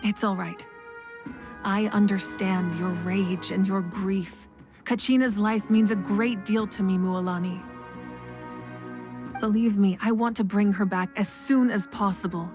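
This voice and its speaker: A woman playing a calm fire goddess leader. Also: mid-range voice, music in the background, natural voice. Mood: composed. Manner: measured, patient, reasoning. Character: calm, authoritative female fire goddess ruler. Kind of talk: game voice acting.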